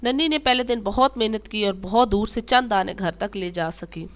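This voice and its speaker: A woman's voice: medium pitch, normal speed. A woman narrating a scripted children's moral tale as a storyteller.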